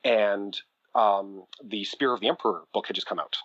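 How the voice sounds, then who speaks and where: A man's voice: fairly low pitch, moderate pace, no room sound, highs cut off. A man, an audio drama producer and writer, chatting as a podcast guest in a rambling, self-deprecating way full of fillers and small laughs. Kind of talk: casual interview chat.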